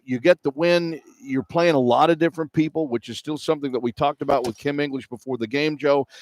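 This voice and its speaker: A man's voice: medium pitch, no echo. A middle-aged or older man hosting radio sports coverage, giving postgame commentary. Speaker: play-by-play radio host. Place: indoor arena broadcast position.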